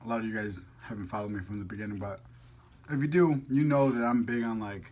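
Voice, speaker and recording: A man's voice: on the low side, fairly even intonation, fast, a little mellow. A man chatting casually as a vlog host, playful and slangy, rambling as he goes. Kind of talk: casual chat to camera.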